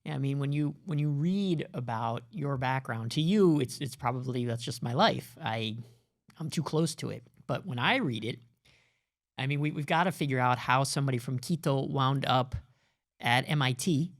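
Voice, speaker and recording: A man's voice: mid-range voice, moderate pace. A man hosting an interview, asking curious, rambling questions in a casual way. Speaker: host. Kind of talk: conversational interview.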